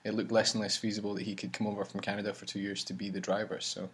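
A man with a slightly husky, low voice talks casually and at length. He rambles, restarts his sentences, and keeps things relaxed and friendly. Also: very fast. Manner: rambling, chatty, many restarts. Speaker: campaign leader and vlog host. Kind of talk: casual chat. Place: traveling campaign van.

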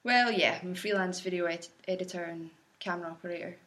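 A woman's voice: lowish voice, quick pace, fairly bright tone. A woman who drives and edits video for the campaign, answering interview questions in a matter-of-fact, understated way. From Scotland.

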